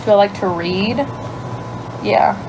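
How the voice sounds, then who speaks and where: A woman's voice: mid-range voice, fairly even intonation, takes it slowly, vocal fry, background noise. Chatty woman with a light Cajun lilt. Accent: light Cajun Louisiana. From Louisiana. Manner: chatty, thinks aloud, trails off into quiet asides. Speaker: livestream host. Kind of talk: casual livestream chat answering viewers. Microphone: phone.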